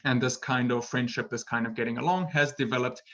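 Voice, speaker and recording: A man's voice: medium pitch, fairly even intonation, brisk, a bit bright, highs cut off. A male English teacher giving a casual webinar lesson, talking in a playful way and tagging his sentences with "right?". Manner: chatty, tags sentences with "right?"; laughs at himself, playful. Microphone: computer mic for an online webinar.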